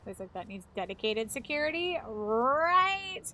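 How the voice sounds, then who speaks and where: A woman in her thirties with a fairly high voice talks in a loose, rambling way. She swears casually and keeps her tone chatty, like a streamer talking to friends. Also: big pitch swings, a little slow, sharp tone, slight background noise. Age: 30s. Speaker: live streamer. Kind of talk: casual chat.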